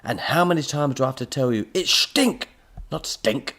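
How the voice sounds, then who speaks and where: A man voicing an irascible mad professor in a children's story, exasperated, melodramatic and given to shouting. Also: medium pitch, very animated, normal speed, creaky, husky, voice pushed up, heavily acted. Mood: irritated barking. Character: irascible mad professor, shrieking and barking, easily enraged.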